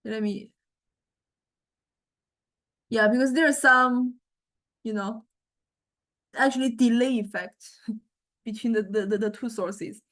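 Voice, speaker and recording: A woman teaching music technology in an informal software demonstration, thinking aloud and explaining tentatively in a halting way. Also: a little high. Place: recorded software lesson.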